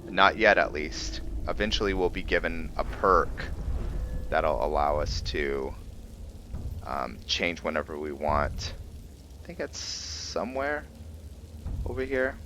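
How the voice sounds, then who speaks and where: A man giving casual gameplay commentary for a roleplay let's play, thinking aloud in a halting way. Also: rumbling low, lively intonation, pushed and bright, a little hiss or hum.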